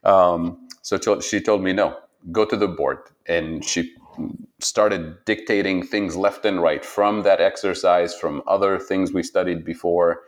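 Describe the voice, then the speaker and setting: A man speaks low and unhurried, weighing his words as he looks back on his life. He stops and restarts often, with quiet laughter woven through his story. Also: steady tone. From Tunisia. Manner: reflective, halting, laughs often. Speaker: interviewee. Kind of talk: personal storytelling interview.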